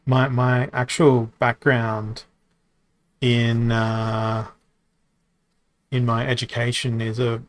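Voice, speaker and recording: A man hosts a live stream of a card game, talking loosely to his audience. His voice sits at a middle pitch, and he rambles with plenty of ums and restarts while he reads out viewer questions and answers them. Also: poor audio. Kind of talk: casual live-stream chat.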